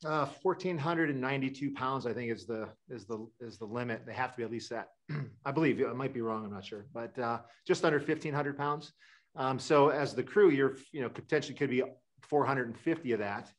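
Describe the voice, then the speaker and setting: A man's voice: medium pitch, fairly quick. Middle-aged man from Wisconsin, rambling. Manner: rambling, many fillers and restarts. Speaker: guest sailor. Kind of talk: casual interview talk. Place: indoor room.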